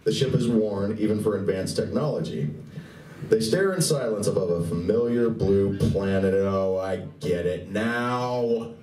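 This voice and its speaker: A man's voice: fairly low pitch, a little slow, a little mellow. A man narrating a comedy radio play live, reading the script expressively with wry asides. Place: live convention event room. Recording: roomy, distant live recording, faint noise.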